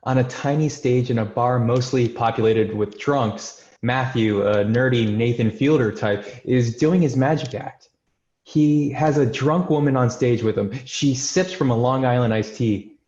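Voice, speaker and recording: A man's voice: mid-range voice, measured pace, a little mellow. A man narrating a screenplay's stage directions in a scripted table-read style. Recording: roomy, dull remote call audio.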